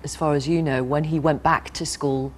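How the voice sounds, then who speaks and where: Measured woman interviewer, faint background noise. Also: low-pitched, steady tone. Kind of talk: serious broadcast news interview.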